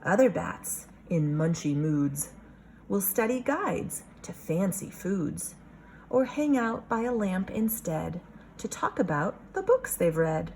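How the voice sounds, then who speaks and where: A woman teacher reading a picture book aloud to young children, expressive, pausing to question and explain. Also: medium pitch, a little hiss or hum, poor audio.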